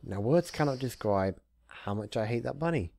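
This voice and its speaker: A man who hosts readings of fan fiction, talking casually and narrating with theatrical, deliberate phrasing. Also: mid-range voice, expressive, gravelly, darkish tone, no echo. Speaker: fan fiction reader, channel host. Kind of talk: casual intro, then narrated story reading.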